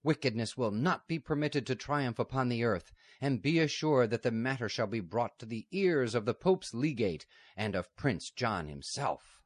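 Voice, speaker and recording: A man's voice: medium pitch, expressive, normal speed, hardly any pauses, no room sound, pitched above the performer's own voice, lightly acted. A man voicing a stern, dignified older abbess of a convent, firm and solemn, in a raised voice, with no room sound.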